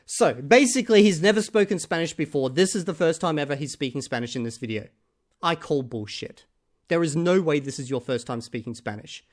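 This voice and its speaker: Man, reaction host, skeptical and emphatic, casual rant with loud bursts. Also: animated, brisk. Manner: skeptical, emphatic, swings between loud outbursts and low mutters.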